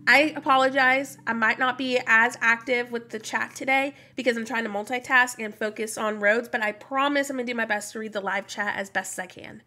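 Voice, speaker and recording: A woman's voice: on the high side, fairly even intonation, fairly quick, hardly any pauses, sharp tone. A woman in her thirties, stuffy from a cold, chatting casually with viewers while livestreaming a game and greeting them by name. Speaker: streamer. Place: home room, indoors. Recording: music playing behind voice.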